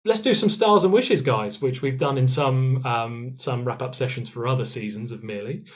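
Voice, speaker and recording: A man hosting a relaxed post-game discussion as game master, speaking in an encouraging, appreciative way. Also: mid-range voice, normal speed. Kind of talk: casual post-game chat.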